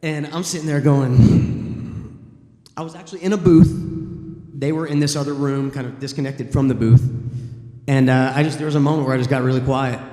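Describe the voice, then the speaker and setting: A man, a voice actor on a convention panel, telling a long studio anecdote in a relaxed, rambling way. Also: mid-range voice, a little fast. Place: large convention hall. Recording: echoey hall with long reverb.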